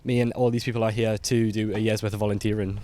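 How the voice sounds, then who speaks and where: A man's voice: lowish voice, level tone, fast, crowd noise. A young man, a volunteer teacher, talking earnestly in a street interview. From England. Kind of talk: casual street interview answer.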